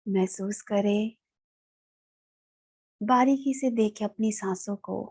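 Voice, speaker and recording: A woman with an Indian accent leads a guided meditation in a calm, soothing manner, leaving long pauses. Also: medium pitch. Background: background music.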